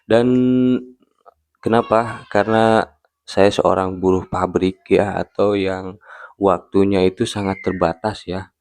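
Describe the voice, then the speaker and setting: A man in his early thirties talking casually to camera as a vlogger, in a halting way with frequent tag words at the ends of phrases. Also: low-pitched, flat delivery. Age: early 30s.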